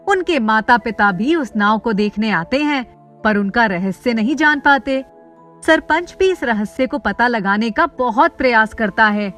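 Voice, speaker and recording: A woman's voice: a little high, a bit bright. A woman reading a scripted children's story as its narrator.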